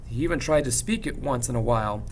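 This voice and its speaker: A man reads a story aloud like a teacher, in expressive narration with measured, dramatic pauses and emphasis. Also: medium pitch, a little hiss or hum. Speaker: teacher reading a novel aloud. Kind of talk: expressive audiobook-style narration.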